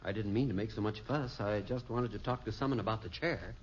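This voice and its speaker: An actor playing a polite, patient upholsterer in an old radio comedy, hesitant and a bit confused. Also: male voice, lowish voice, a little fast, lightly acted. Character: polite, puzzled male furniture upholsterer. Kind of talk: 1950s radio comedy acting. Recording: dull old radio recording, loud hiss and clicks.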